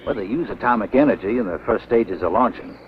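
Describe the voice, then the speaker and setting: Male actor as grave older scientist explaining carefully, low voice, B-movie sound with faint noise. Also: lightly acted. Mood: grave, pondering. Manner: measured, explanatory. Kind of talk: 1950s B-movie acting. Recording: muffled, noisy old film soundtrack.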